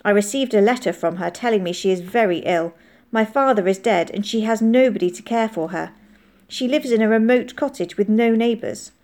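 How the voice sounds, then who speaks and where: A woman's voice: medium pitch, a little fast, a little mellow, close to an everyday voice. A woman voicing a polite, earnest soldier who speaks in careful, formal phrases in an audiobook. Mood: earnest, worried. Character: young soldier hiding in a barn, polite, guarded, earnest. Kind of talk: audiobook character voice.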